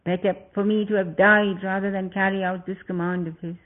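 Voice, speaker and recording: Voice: medium pitch, little pitch movement, brisk, natural voice. A loyal young prince speaks in a subdued, grief-choked voice, as a storyteller voices him. The sound is narrow and tinny, like a phone call. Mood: sorrowful.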